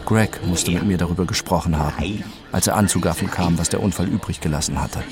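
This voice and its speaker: An actor playing a detached accident survivor who narrates his own story deliberately and precisely in a radio drama. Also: low-pitched, over a noisy crowd, close to an everyday voice. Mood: numb, precise. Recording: music under the voice.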